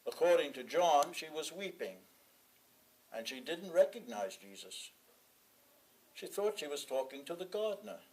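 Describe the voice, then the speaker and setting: Man reading a sermon in measured phrases. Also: fairly high pitch, very animated, darkish tone, rough recording. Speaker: sermon reader. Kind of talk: sermon read from a written text.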